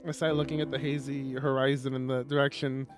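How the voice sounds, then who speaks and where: A man reading a visual novel aloud on a livestream as dramatic, expressive narration with drawn-out phrases. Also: medium pitch, music in the background.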